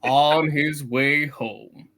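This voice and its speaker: A man's voice: fairly high pitch, steady tone, extremely slow, a bit bright. A man narrating a comedic live play reading from a script.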